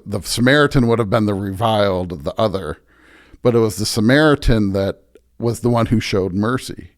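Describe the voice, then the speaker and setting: A man speaking as a pastor and podcast guest, reflecting earnestly and conversationally, often restarting and checking points with "right?". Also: mid-range voice. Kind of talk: conversational reflection on faith and ethics.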